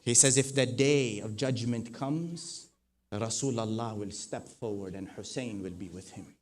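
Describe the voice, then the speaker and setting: Man preaching, soft then swelling loud. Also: fairly low pitch, a little slow, slight background noise. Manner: swells from soft to loud, rising emphatic repetition. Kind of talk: mourning sermon with narrated tradition.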